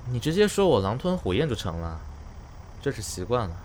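A man's voice: medium pitch, big pitch swings, brisk, a little mellow, a little hiss or hum, close to an everyday voice. A man playing a plain-spoken, guarded young celebrity actor in an audio drama, casual and understated. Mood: casual, a little awkward. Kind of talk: Chinese audio drama acting.

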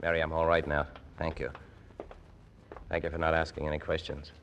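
An actor playing a troubled, shy young soldier in an old radio drama, speaking in a halting, self-effacing stammer. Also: male voice, rumbling low, little pitch movement, fairly bright tone, muffled recording. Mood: subdued, earnest. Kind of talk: 1940s radio drama acting. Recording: noisy old radio recording.

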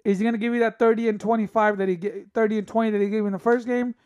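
A man with a high-pitched voice, a little raspy from a lingering cough, hosts a solo sports podcast. He talks in a loose, opinionated way, thinking aloud with plenty of fillers. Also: steady tone, rapid-fire, darkish tone, dull audio. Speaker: podcast host.

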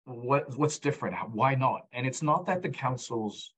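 A man's voice: mid-range voice, lively intonation, normal speed, a bit bright, breathy edge. A man speaking as a podcast guest, explaining his data work earnestly and repeating his main points with frequent restarts. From Egyptian background, raised abroad. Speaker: guest, founder of a data platform. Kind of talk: conversational podcast interview.

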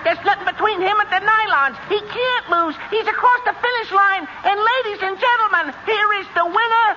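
An excitable horse-race announcer for a vintage radio comedy, calls the action in an extremely high voice. The recording is muffled and noisy. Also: male voice, brisk, exaggerated voice. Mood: excited, urgent. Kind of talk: parody race commentary in 1940s radio comedy. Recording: noisy, dull old 1940s radio recording.

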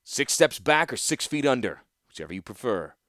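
A man voicing a stern veteran weapons master who gives calm, commanding orders. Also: mid-range voice, normal speed, no room sound, studio quality, voice pushed up. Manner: calm, commanding, dryly threatening.

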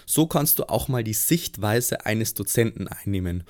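A man's voice: on the low side, expressive, normal speed, a little mellow. Young male podcast host. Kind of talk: podcast intro and interview questions.

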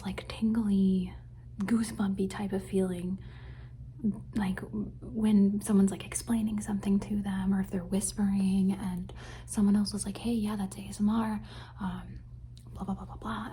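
A young adult woman whispering an ASMR question-and-answer session into a phone, rambling and softly repeating words as triggers. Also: medium pitch, slight background noise. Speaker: ASMR creator.

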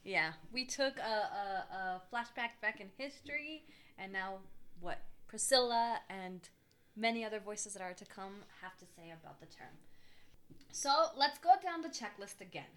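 A woman's voice: medium pitch, animated, moderate pace, a bit bright. A woman co-hosting a podcast in casual chat, mixing Spanish phrases into her English and affirming her partner often. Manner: affirming, frequent "uh-huh", mixes Spanish in. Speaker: podcast co-host.

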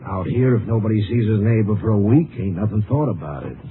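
A lowish, lively male voice from an actor as a folksy country sheriff with a drawl. He talks colloquially and doubtfully in 1950s radio drama style, on a narrow, noisy old recording. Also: quick pace, natural voice. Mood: discouraged.

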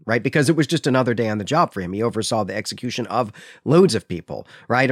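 A man's voice: mid-range voice, dry recording. Conversational man with a dry, close sound. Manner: emphatic, often checking with "right?". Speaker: podcast co-host. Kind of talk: conversational literary discussion.

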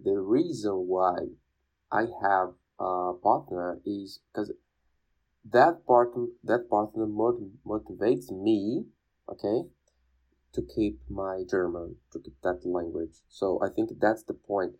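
Low-voiced man, halting English. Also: darkish tone, poor audio. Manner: emphatic, repeats phrases, halting non-native English. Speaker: podcast guest, language learner and teacher. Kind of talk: casual conversational interview.

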